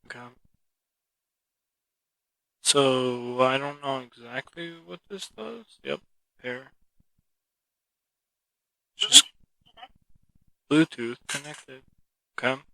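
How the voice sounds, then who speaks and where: A man with a bright, sharp voice talks loosely through a gadget review, thinking aloud and trailing off. The sound is dry and close, with no room around it. He speaks into a cheap microphone. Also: medium pitch, very slow, gravelly, long pauses, no room sound, poor audio. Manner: rambling, relaxed, thinking aloud. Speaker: product reviewer. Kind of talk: casual unscripted product review. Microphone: cheap mixer-connected microphone.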